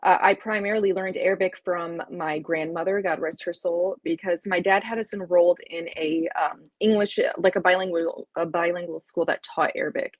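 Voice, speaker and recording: Husky-voiced woman telling her story. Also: on the low side, fairly even intonation, normal speed, hardly any pauses, no room sound, muffled recording. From United States and Palestine. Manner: chatty, many fillers and likes. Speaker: guest. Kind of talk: casual storytelling chat.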